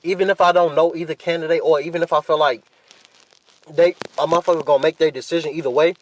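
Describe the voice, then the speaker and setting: A young American man with a slightly high voice, recorded fairly dry. He talks like a casual podcast host, rambling along in a laid-back way and swearing freely. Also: no room sound. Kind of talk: casual solo podcast chat.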